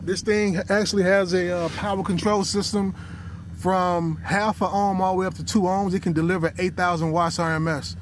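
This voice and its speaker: A man's voice: high voice, steady tone, normal speed. A man hosting a hands-on product test, explaining each step to the viewers as he goes. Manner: explanatory, addresses viewers as "you guys". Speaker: car audio reviewer and host. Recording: clear background noise, vehicle ambience.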